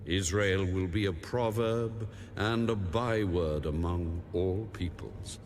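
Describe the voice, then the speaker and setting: A man playing God in a dramatized Bible reading, solemn and commanding, speaking in a grave, measured way. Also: sits low, darkish tone, a little hiss or hum. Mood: stern warning. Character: God, solemn commanding male deity giving a warning. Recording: music under the voice. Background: music.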